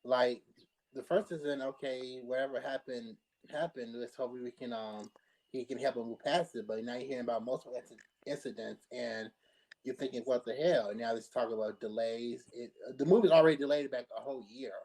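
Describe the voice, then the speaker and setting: A man's voice: mid-range voice, fairly even intonation, fairly quick, a little mellow, low-quality recording. A man giving casual conversational commentary as a panelist, talking in an earnest, explanatory way. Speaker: panelist on a pop culture talk show.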